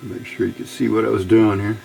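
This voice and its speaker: Gravelly man thinking aloud at a workbench. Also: on the low side, level tone, brisk, warm tone, a little hiss or hum. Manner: unscripted, muttering to himself while working. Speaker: electronics hobbyist, channel host. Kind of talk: casual hands-on build tutorial, thinking aloud. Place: indoor workbench.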